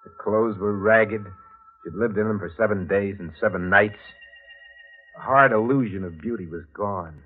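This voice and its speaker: An old, muffled radio thriller with prominent music behind the voice. an obsessed, murderous narrator with a low voice, who speaks with intense drama and menace. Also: male voice, moderate pace, breathy edge. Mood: cold, menacing. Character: obsessed murderous man narrating his crimes, fanatical and menacing. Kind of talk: 1940s radio thriller acting. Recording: muffled 1940s radio recording with loud music.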